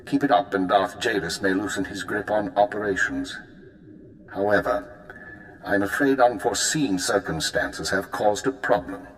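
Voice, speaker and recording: A man voicing a measured spymaster handler. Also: on the low side, slight background noise, natural voice. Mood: briefing. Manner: measured, controlled briefing tone. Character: calm male spymaster handler giving orders. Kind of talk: video game cut-scene acting. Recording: roomy echo.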